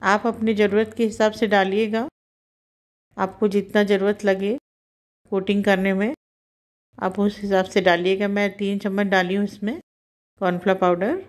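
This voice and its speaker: Female cooking-channel host, calm and instructive, talks through every step as she cooks. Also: medium pitch, moderate pace, pauses often. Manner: calm, instructive, narrates each action as she does it.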